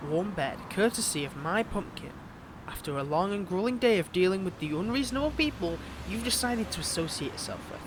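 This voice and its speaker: A man's voice: high-pitched, animated, brisk, background noise. A man playing a smug, possessive villain in a romantic audio drama, flirting and taunting theatrically while courting a former lover. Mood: coaxing, wistful. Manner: flirtatious, taunting, theatrical, with pet names and veiled threats. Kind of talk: dramatic audio roleplay acting.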